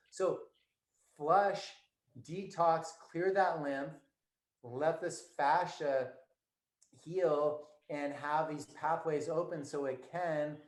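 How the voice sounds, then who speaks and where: A man's voice: on the high side, darkish tone. A man with a slightly raised voice speaks as an interview guest, emphatic and instructive. His voice comes over a remote call from an echoey room. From United States. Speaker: guest, performance coach. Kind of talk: conversational interview.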